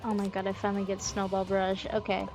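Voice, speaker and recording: A woman's voice: mid-range voice, monotone, quick pace, darkish tone. A woman game streamer giving casual live commentary, musing aloud as she thinks things through. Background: music.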